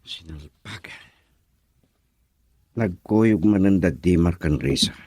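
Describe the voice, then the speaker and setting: An actor playing a scheming, jealous rival suitor, brooding to himself and breaking into whispers in a radio drama. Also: male voice, deep voice, takes it slowly, darkish tone, no room sound, studio quality.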